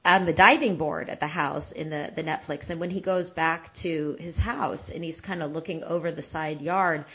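Woman in her forties, podcast host, lower voice, rambling chat, slightly dull lo-fi sound. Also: steady tone, moderate pace, breathy edge. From San Francisco Bay Area. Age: 40s. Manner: rambling, many fillers and restarts.

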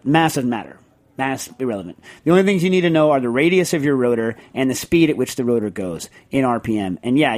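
A middle-aged man hosts a podcast in a loose, rambling way. He piles on fillers and restarts as he works through technical points step by step. His pitch sits in an ordinary middle range. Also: a little mellow. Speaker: podcast host. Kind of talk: casual chat and technical explanation.

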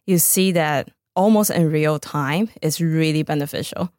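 Low-pitched Taiwanese-accented woman, close dry sound. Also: unhurried, a little mellow, no room sound. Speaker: startup founder, podcast guest. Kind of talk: conversational interview answers.

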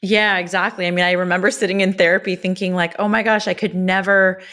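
A woman's voice: lowish voice, flat delivery, fast talker, fairly bright tone. A woman in her thirties from Los Angeles, a cookbook author and food blogger talking candidly as a guest in a podcast interview. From Los Angeles, USA. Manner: candid, open, chatty with small restarts.

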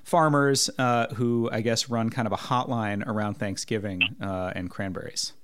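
A man hosting a podcast, reading a measured intro and then asking questions with some hesitation. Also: lowish voice, steady tone, a bit bright, clear, resonant tone, dry recording. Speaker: podcast host. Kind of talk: scripted intro then casual interview.

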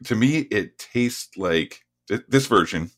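A man's voice: on the low side, lively intonation, slow. A man co-hosting a podcast who chats in a casual, joking way and often stops and restarts. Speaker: podcast co-host.

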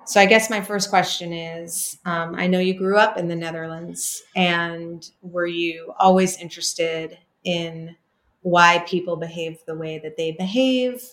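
A woman's voice: on the low side, steady tone, unhurried, fairly bright tone. A woman hosting a podcast interview, chatty and rambling with lots of fillers. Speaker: podcast host. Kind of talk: casual interview chat.

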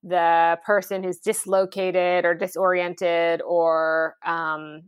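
A woman, founder of a cooking school, talking in a relaxed interview with lots of fillers and lively storytelling. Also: lowish voice, slow pace, smooth, dry recording. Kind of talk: conversational interview.

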